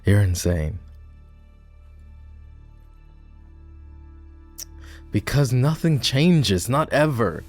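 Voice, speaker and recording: A man playing a proud, bitter lowborn knight and war hero in a dramatic fantasy roleplay audio, with a sardonic, scornful manner. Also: very animated, slow pace, soft background music. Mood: incredulous, bitter. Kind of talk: fantasy roleplay ASMR voice acting.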